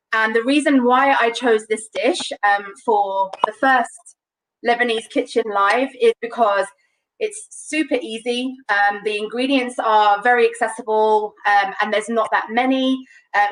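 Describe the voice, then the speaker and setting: Young London woman hosting a home cooking stream; casual and chatty, higher voice, set back from the webcam. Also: fairly bright tone. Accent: London English. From London, England. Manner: chatty, many ums, light laughs. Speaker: cooking show presenter. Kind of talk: casual live cooking demo. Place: home kitchen, indoors. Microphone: webcam on a video call. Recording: distant webcam mic in kitchen.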